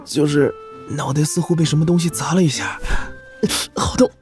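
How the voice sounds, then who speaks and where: An actor playing a dazed, good-natured teenage boy adventurer with a hesitant manner in an animated series. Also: medium pitch, moderate pace, soft background music, natural voice. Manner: hesitant, mild. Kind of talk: animation voice acting.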